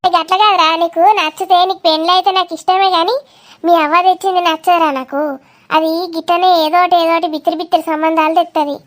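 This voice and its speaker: A woman's voice: extremely high pitch, little pitch movement, fast talker, fairly bright tone. A woman voicing a nosy, grumbling village aunt in a cartoon comedy, with a Telangana Telugu accent and a blunt, gossipy way of talking. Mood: suspicious, probing.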